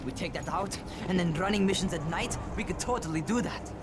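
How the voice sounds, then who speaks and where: High-voiced restless young man played by an actor. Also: brisk, heavy background noise, natural voice. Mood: eager. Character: restless, frustrated young man, reckless and eager. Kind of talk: naturalistic video game acting.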